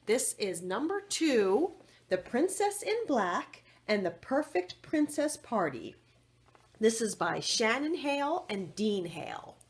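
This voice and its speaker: A woman reading a children's story aloud in an expressive, deliberate storytelling manner. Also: a little high, breathy edge. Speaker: story reader.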